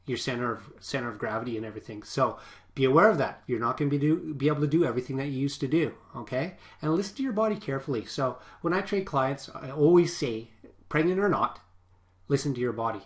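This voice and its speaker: Sniffly man giving fitness advice. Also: medium pitch. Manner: chatty, often adds "okay?" to checks. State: stuffy, sniffly from a nasal infection. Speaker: personal trainer. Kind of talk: casual advice vlog.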